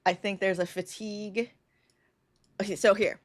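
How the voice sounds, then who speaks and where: A woman's voice: medium pitch, fairly even intonation, moderate pace, dry recording. A woman co-hosting a podcast, thinking aloud casually with many fillers. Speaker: podcast co-host. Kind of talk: casual live podcast brainstorm.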